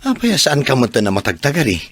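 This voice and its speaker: A man acting an evasive, easygoing unfaithful husband in a radio drama, with a husky, drawling voice and an Ilocano accent. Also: mid-range voice, huge pitch swings, quick pace, a bit bright, no echo, exaggerated voice. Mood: irritated, defensive.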